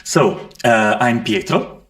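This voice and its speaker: A man's voice: medium pitch, expressive, takes it slowly, a bit bright. A man from Italy with an Italian accent presenting at a conference, hesitant with many fillers. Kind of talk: conference talk.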